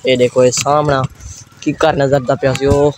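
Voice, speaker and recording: A man's voice: mid-range voice, level tone, moderate pace. A young man with a Saraiki accent from Pakistan, chatting casually and repetitively as he films a village vlog. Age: teens to young adult. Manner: informal, repetitive running commentary. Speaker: vlogger, host. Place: outdoors in village lanes, fields and walled yard. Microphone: phone at arm's length. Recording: noisy handheld phone recording.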